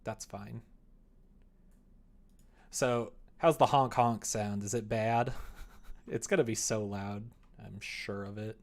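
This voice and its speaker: Creaky, low-voiced young man thinking aloud. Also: fairly even intonation, takes it slowly, long pauses, a little mellow. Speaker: livestreamer developing a visual novel. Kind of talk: casual livestream chat while coding, thinking aloud. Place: indoor room at a computer.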